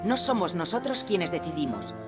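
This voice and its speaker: Woman doing a stern asylum nurse for an audio drama, lowish voice, cold and bossy, music playing behind. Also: very animated, moderate pace. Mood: cold, firm. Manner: cold, authoritative. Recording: prominent music under the voice.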